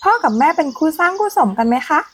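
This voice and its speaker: A woman's voice: extremely high pitch, animated, rapid-fire, fairly bright tone, voice pushed up. A woman voicing a curious, sweet little girl who asks polite, childlike questions in a storybook tale.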